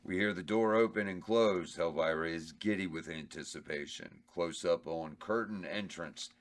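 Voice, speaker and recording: Dude with a real deep voice reading stage directions, matter of fact. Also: male voice, moderate pace. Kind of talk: reading script directions aloud.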